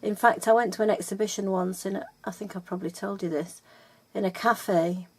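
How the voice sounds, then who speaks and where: Chatty husky-voiced woman, older. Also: medium pitch, steady tone, brisk. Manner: chatty, hesitant, with many ums and self-corrections. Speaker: felting teacher. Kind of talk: casual video tutorial. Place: home room, upstairs.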